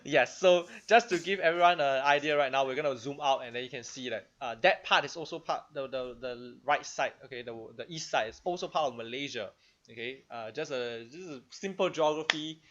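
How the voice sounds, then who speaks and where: A man's voice: mid-range voice, fairly quick, a bit bright. A man from Singapore with a Singaporean accent, hosting a casual video call chat, chatty with many fillers. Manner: chatty, laughing, filler-heavy. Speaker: host. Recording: dull lo-fi sound.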